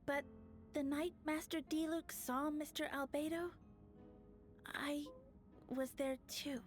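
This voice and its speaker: An actress playing a timid young woman witness in a game, hesitant, halting and apologetic. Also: high voice, fairly even intonation, soft background music. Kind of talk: video game voice acting.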